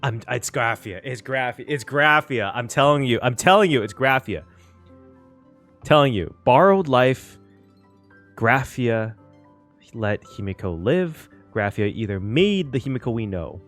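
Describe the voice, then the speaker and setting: A man hosting a casual reaction video on a game story, excitable and given to repeating phrases for emphasis. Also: medium pitch, unhurried, soft background music.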